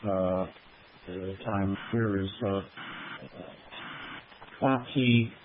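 Low-voiced man on a tinny radio link. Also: very slow. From USA. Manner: relaxed, friendly, repeats call signs. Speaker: ham radio operator. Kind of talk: amateur radio contact chat. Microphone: digital radio app on phone. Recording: tinny radio transmission with faint noise.

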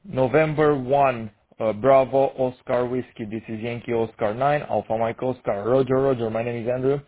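A man's voice: mid-range voice, steady tone, moderate pace, a little hiss or hum. Hesitant young Romanian man on radio. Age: teens. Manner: hesitant, searching for words, many fillers. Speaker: amateur radio operator. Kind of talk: casual radio chat. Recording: tinny radio transmission.

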